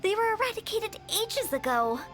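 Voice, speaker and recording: A woman's voice: extremely high pitch, big pitch swings, normal speed, a bit bright, exaggerated voice. A woman voicing a cheeky, theatrical, self-assured young female funeral director in a video game. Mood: explanatory. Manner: theatrical, confident, commanding.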